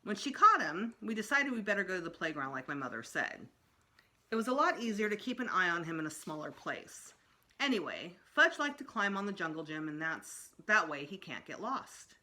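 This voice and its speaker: A woman's voice: fairly low pitch, big pitch swings, normal speed, pushed and bright. A woman reading a children's book aloud as a storytime narrator, expressively. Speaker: storytime reader.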